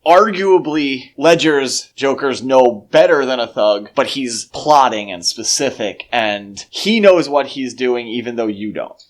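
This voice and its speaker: A man co-hosting a podcast in a casual, halting conversational way, often interrupting his own sentences. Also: mid-range voice, animated. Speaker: podcast co-host. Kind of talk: casual film discussion chat.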